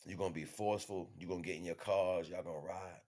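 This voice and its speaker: A man delivering an impromptu spiritual monologue in an emphatic, repetitive, slangy street-preacher manner, with a husky voice. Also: deep voice, fairly even intonation, quick pace, a little mellow. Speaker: spiritual teacher streaming online.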